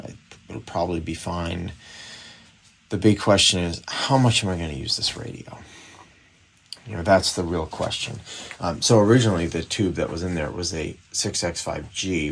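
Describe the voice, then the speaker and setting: A man's voice: sits low, gravelly, fairly bright tone, a little hiss or hum. A man giving casual hands-on commentary on a repair, musing and thinking aloud as he works indoors at a bench. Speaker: radio repairer presenting a restoration.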